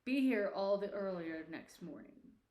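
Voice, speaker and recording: A woman's voice: medium pitch, expressive, measured pace, mellow, lightly acted. Lady reading as a grumpy old miser boss from a storybook, sarcastic and scolding, roomy echo. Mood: scolding, sour.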